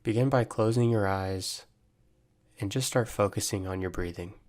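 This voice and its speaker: A man guiding a body scan meditation, giving gentle, measured instructions with long pauses. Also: fairly low pitch. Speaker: meditation guide, mental performance coach.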